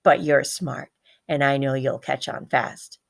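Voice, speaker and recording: A woman voicing a warm, encouraging female schoolteacher who is fond of her pet, in a children's storybook read-aloud. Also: very deep voice, steady tone, a little slow, vocal fry, pitched below the performer's own voice, full character voice.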